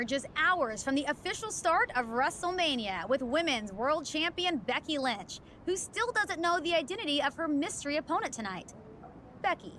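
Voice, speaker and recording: A high female voice plays a backstage sports interviewer in a game. The delivery is polished, scripted broadcast questioning. There is faint background noise. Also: a bit bright.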